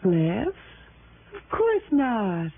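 An evasive, talkative wife from a vintage radio drama. Her American voice swings widely in pitch as she deflects and stammers. The sound is thin and narrow, with some hiss. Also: wildly swooping pitch, very slow, exaggerated voice. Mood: defensive, agitated. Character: chatty, evasive married woman growing flustered under questioning. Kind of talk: mid-century American radio drama acting. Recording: noisy, narrow-band vintage radio recording.

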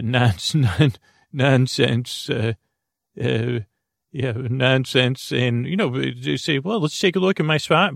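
A man's voice: medium pitch, gravelly, no echo, studio quality. A man hosting a sleep podcast, talking in a meandering, self-interrupting way with wry asides. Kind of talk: rambling bedtime podcast intro.

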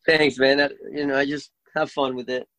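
Excitable Venezuelan-accented man, dry sound. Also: mid-range voice, moderate pace, no echo. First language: Spanish. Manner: excitable storyteller, swears freely. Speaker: guest. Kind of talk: casual chat.

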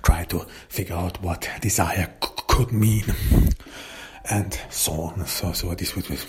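A man whose first language is German, hosting his own podcast in casual talk, halting with many fillers and restarts. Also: deep voice, steady tone, slight background noise. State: recovering voice after illness. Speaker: podcast host and author.

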